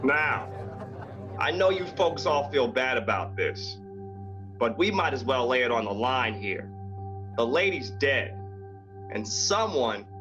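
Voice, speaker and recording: A man acting as a hard-boiled 1940s radio police lieutenant, blunt and suspicious. His pitch is middling and his delivery dry and folksy, with music clearly playing behind him. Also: lo-fi sound.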